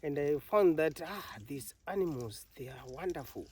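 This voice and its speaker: Zimbabwean man telling his story, mellow voice. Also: on the high side, expressive, husky, recorded from a distance. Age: middle-aged. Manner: laughs often, halting, plain storytelling. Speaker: elephant carer. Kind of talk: interview storytelling.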